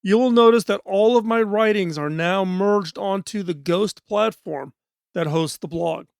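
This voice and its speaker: High-voiced, hoarse male podcast host. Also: no room sound, studio quality. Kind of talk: scripted podcast monologue. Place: studio.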